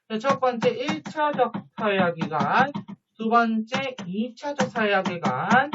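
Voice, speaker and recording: A man teaching a high school social studies lesson, chatty, with jokey asides and quick questions to his students. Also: noisy background. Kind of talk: online lecture to students. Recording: dull lo-fi recording.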